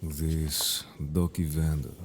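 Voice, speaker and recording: A man voicing a stern, protective merfolk guard in an audio drama, speaking in a measured, formal, serious way. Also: very deep voice, little pitch movement, slight background noise. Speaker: voice actor in an audio roleplay. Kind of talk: scripted audio drama roleplay.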